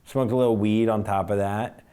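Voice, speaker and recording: Mellow low-ish comic guy, relaxed and jokey. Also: fairly quick, clear, resonant tone. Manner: relaxed, joking. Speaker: friend and fellow stand-up comic. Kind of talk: casual interview chat.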